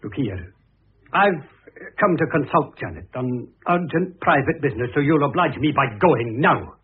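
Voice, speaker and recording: Blustering, scheming husband, husky voice. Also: male voice, on the high side, animated, normal speed. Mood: indignant, blustering. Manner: blustering, indignant. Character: irascible, scheming, jealous husband, blustering and sly. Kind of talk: old-time radio drama acting. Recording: muffled, narrow-band old radio recording.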